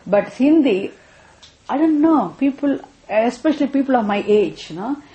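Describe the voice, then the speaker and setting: A woman's voice: mid-range voice, dark tone, echoey room, rough recording. A middle-aged woman from Tamil Nadu with a Tamil Indian English accent, teaching spiritual philosophy. She checks with her listeners often and repeats key phrases. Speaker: Vedanta teacher. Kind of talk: spiritual class talk.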